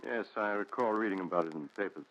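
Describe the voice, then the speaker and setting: An actor playing a calm, inquiring doctor with measured 1940s radio drama delivery. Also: male voice, fairly low pitch, natural voice. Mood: calm, probing. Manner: measured, probing questions. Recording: dull 1940s radio recording.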